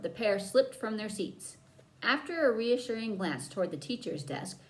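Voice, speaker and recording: A woman narrates a children's story in a very animated read-aloud style. Her medium-pitched voice moves up and down freely, and she stretches key words for dramatic emphasis. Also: low-quality recording.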